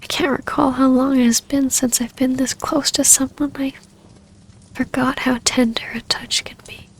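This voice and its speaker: A tender vampire baroness played by a woman speaks to a long-lost love in an intimate ASMR roleplay. Her voice is high and soft, with very even pitch and a breathy edge that often sinks toward a whisper. Her phrasing is hesitant, formal and old-fashioned, full of quiet yearning. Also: normal speed.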